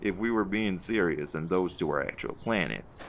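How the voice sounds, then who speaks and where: A man playing a seasoned, folksy leader of a hunt in an audio roleplay, gravelly and reassuring, with a fond teasing streak. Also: deep voice, normal speed, slight background noise. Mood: warm, reflective.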